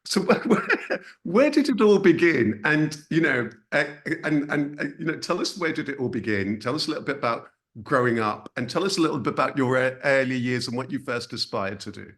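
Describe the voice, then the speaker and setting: A man's voice: mid-range voice, expressive, brisk, a bit bright. A man hosting an interview as a coach, asking questions in an encouraging way and murmuring along as he listens.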